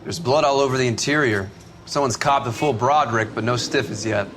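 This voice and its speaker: An actor playing a matter-of-fact, slangy patrol officer giving a clipped briefing in a crime game. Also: male voice, mid-range voice, a little fast, background noise, natural voice. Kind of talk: cinematic game acting, police briefing.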